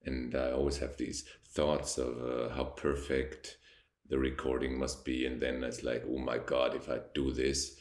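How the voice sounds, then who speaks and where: Young Austrian man, deep gravelly voice, German accent, slow reflective to-camera chat in an echoey apartment. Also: completely flat delivery. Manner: slow, reflective, pausing often to search for words. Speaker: vlogger. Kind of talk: unscripted talking to camera. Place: high-rise apartment by a window.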